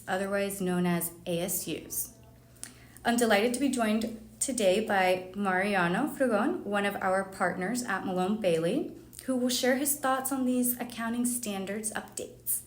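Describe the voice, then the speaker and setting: Woman podcast host in an echoey room. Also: mid-range voice. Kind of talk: scripted podcast introduction and interview questions. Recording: roomy echo, faint background noise.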